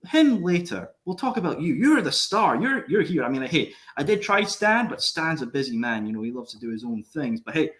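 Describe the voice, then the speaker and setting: A man's voice: on the high side, big pitch swings, brisk, hardly any pauses, a bit bright. A man hosting a podcast interview in a chummy, upbeat way, hyping up his guest. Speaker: podcast host.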